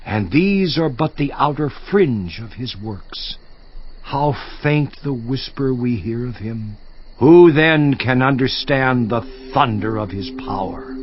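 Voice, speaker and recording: Anguished biblical sufferer, defiant, slow and theatrical, pitch swings a lot, bit muffled. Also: male voice, animated, slight background noise. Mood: awed. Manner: theatrical, emphatic. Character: Job, anguished, defiant, righteous suffering man. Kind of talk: dramatized scripture monologue. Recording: slightly muffled audio.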